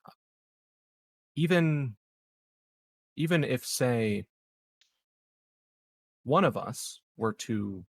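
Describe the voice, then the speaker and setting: A man playing a measured, calculating and discreet corporate executive in a roleplaying game, speaking formally with long deliberate pauses. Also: medium pitch, lively intonation, vocal fry, slightly rough, no room sound, full character voice. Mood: measured, scheming. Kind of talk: improvised in-character roleplay dialogue.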